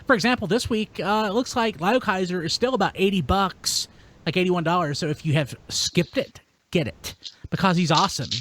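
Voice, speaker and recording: A man's voice: sits high, a little fast, a bit bright, a little hiss or hum. A man hosting a podcast, chatting casually in a jokey, wry manner. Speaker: podcast host.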